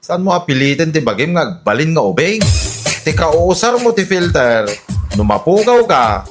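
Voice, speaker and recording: A man plays a scolding Ilocano husband in a radio comedy drama. His Filipino-accented voice is a bit high and emphatic, with music behind it. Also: sing-song, moderate pace. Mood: admonishing. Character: nagging husband scolding his vain wife.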